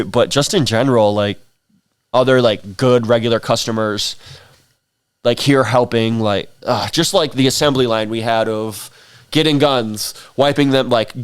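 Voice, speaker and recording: A man's voice: mid-range voice, dry recording. Tired man chatting casually, dry close sound. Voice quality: rough, tired voice. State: sleep-deprived. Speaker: livestream host, shop staff. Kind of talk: casual livestream chat. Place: small dark indoor room.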